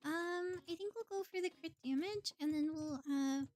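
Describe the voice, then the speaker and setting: A woman's voice: extremely high pitch, moderate pace, a little mellow. A woman livestreamer giving casual gameplay commentary, thinking aloud in short bursts as she plays. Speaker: livestreamer playing a video game. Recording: music behind the voice. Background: music.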